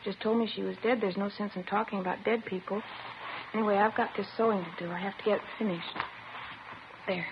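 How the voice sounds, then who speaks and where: A woman's voice: medium pitch, close to an everyday voice. A woman playing a detached, evasive sixteen-year-old girl with a distracted, childlike insistence in a 1950s radio drama. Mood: distracted, dreamy. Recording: muffled, noisy vintage radio recording.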